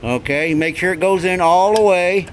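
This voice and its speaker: A man's voice: sits high, normal speed, slight background noise. A middle-aged American man giving a casual hands-on explanation in a folksy, informal way. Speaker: hobbyist giving radio tips. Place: indoors at a wooden workbench.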